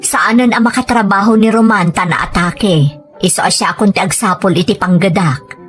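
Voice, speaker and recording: A woman's voice: mid-range voice, moderate pace, faint music behind, close to an everyday voice. A woman playing a pleading, questioning mother who is struggling, in a family drama. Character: pleading, self-concerned adult daughter, a struggling mother. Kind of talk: dramatized Ilocano family story.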